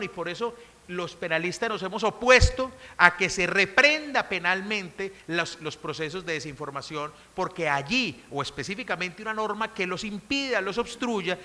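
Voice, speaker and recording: Colombian woman speaker, middle-aged, firm emphatic lecture delivery, piercing bright tone, echoing conference hall. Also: lowish voice. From Colombia, coffee region. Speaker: conference speaker on criminal law. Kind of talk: formal academic lecture, partly read from a text.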